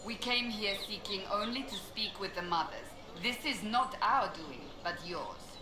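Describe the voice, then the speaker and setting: A woman's voice: medium pitch, lively intonation, a little slow, noisy background, close to an everyday voice. A woman playing a serious, steadfast warrior of a holy order in a fantasy video game, speaking firmly and earnestly. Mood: defensive. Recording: echoey space.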